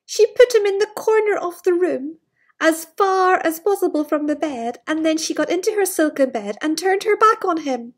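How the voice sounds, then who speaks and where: A woman's voice: extremely high pitch, expressive, normal speed. A woman around her forties telling a picture-book story to young children, with exclamations and wondering asides. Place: bright living room with large glass doors.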